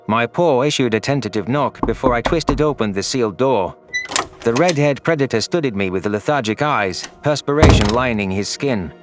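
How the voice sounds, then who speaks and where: A man's voice: mid-range voice, animated, moderate pace, music in the background. A man narrating a scripted science fiction story in the first person as an anguished, guilt-ridden alien. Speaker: narrator of a sci-fi audio story.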